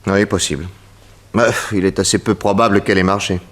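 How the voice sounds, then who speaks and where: An actor playing a calm, shrewd detective in a 1960s French radio drama, probing and methodical, politely commanding. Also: male voice, sits low, a little fast, a little hiss or hum. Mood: businesslike. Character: calm, shrewd male detective leading an inquiry.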